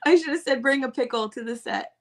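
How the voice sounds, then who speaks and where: A woman's voice: sits high, quick pace, background noise. A woman co-hosting a casual podcast chat, bubbly and teasing, laughing often. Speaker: podcast co-host.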